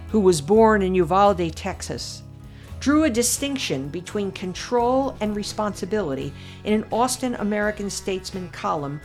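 A woman commentator reading her own essay aloud in a measured, deliberate way with emphatic pauses. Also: mid-range voice. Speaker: podcast commentator.

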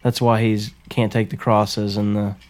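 A man's voice: fairly low pitch, level tone, measured pace, a little mellow, slight background noise. A man in casual movie chat, talking bluntly and readily disagreeing.

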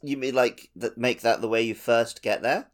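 A man's voice: medium pitch, steady tone, moderate pace. A man co-hosting a gaming podcast in casual conversation. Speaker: podcast co-host. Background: prominent plucked-guitar music.

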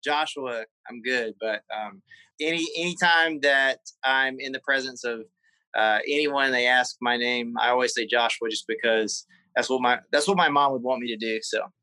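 A man with a Southern accent from South Carolina, telling his life story as an interview guest, often pausing and repeating words. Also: medium pitch, bright, forceful tone, clear, resonant tone, no echo, low-quality recording. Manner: halting, repeats words while recalling memories. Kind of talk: casual interview conversation.

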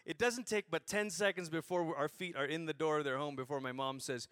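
Preacher guy from malaysia, 40s, lively funny storytelling, sharp bright tone, no room echo. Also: fairly high pitch, fairly quick, studio quality. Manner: humorous animated storytelling. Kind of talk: sermon.

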